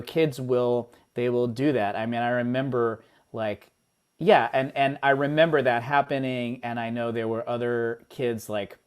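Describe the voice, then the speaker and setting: A young man with a middle-pitched voice chats as a podcast guest. He jokes freely and cuts in with quick questions, keeping the talk loose and playful. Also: clear, resonant tone. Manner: quick, joking, prone to interrupting. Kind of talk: casual conversation.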